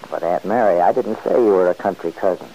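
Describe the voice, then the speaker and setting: A restless, stubborn middle-aged man who grumbles and brushes off questions. His voice is low and mellow, with a faint hiss behind it. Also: fast, rough recording. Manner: gruff, defensive, mumbling. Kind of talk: 1940s radio acting.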